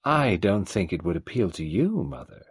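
A man voicing a gentle young wife who answers evenly and without expression. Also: low-pitched, sing-song, moderate pace, slightly rough, no echo, studio quality, exaggerated voice. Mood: restrained.

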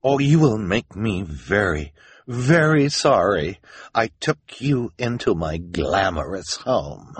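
A man's voice: a little high, big pitch swings, unhurried, husky, no room sound, pitched above the performer's own voice, full character voice. A man voicing a vain, wealthy woman who is imperious, snappish and emphatic. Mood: threatening. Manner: haughty, impatient, emphatic.

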